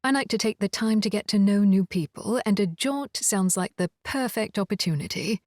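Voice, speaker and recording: An actress playing a charming, poised professor, gracious but firm, in an audiobook drama. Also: female voice, medium pitch, normal speed, a little mellow, no echo, studio quality, lightly acted. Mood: gracious, delighted. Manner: effusive, genteel, assertive. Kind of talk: full-cast audiobook drama acting.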